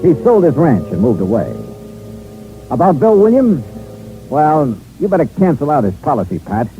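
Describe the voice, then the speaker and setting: A man's voice: fairly high pitch, big pitch swings, moderate pace, mellow. A man acting as a shrewd, sardonic insurance investigator in a 1950s radio detective drama, with an American accent and clipped, dry delivery. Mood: wry, reflective. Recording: old radio recording, narrow band, music.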